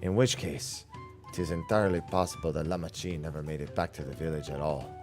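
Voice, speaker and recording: An earnest fantasy adventurer read aloud by a man speaks in a low voice with formal, archaic wording. The lines come from a video game, with faint music behind. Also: steady tone, fairly quick. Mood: grave, resolute. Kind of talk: reading game dialogue aloud.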